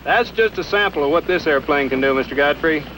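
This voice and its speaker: A young American pilot gives stiff, rehearsed answers in a polite, earnest way. His voice is fairly high and sharply bright, with faint noise behind it. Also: animated, brisk, low-quality recording. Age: young adult. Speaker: military pilot. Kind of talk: stiff, rehearsed on-camera interview.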